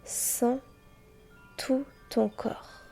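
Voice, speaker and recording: Slow, soft-spoken woman guiding meditation. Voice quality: soft, breathy, often near a whisper. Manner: gentle, unhurried, with long pauses between short phrases. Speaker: guided meditation teacher and host. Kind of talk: guided yoga nidra meditation, slow and soothing. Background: soft music with chimes.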